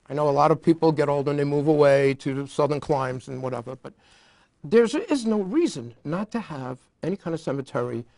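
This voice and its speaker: A man's voice: fairly high pitch, gravelly, darkish tone, no room sound. A man who chairs a veterans advisory council, speaking earnestly and emphatically as a guest, sometimes stumbling and restarting. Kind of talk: casual interview talk.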